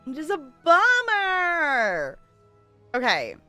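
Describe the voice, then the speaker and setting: Female game streamer, bright and fairly high voice, informal chatty commentary, music underneath. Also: wildly swooping pitch, drawn-out words. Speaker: video game livestreamer.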